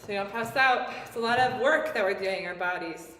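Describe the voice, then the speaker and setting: A woman's voice: medium pitch, fairly quick, very noisy. A woman coaching singing exercises step by step, encouraging and given to playful asides. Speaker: singing teacher leading vocal exercises. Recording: echoey room, distant mic, music notes behind.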